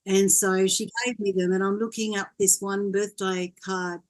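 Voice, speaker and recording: An older woman from Wales, a guest in an interview, telling her story in a gentle, reflective way. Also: medium pitch, flat delivery, normal speed. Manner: gentle, reflective, laughs often.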